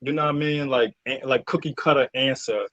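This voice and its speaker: A man talking casually as an interview guest, halting and searching for words, often interrupting himself. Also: mid-range voice, fairly even intonation, a bit bright, dry recording, lo-fi sound. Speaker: interview guest, cast member. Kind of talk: casual conversational interview talk.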